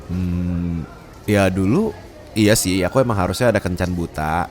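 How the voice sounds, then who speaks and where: Man acting a haughty, teasing boss, expressive low voice. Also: moderate pace, soft background music. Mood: fond, teasing. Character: stern, haughty young male boss, teasing as a boyfriend. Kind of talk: Indonesian ASMR roleplay acting.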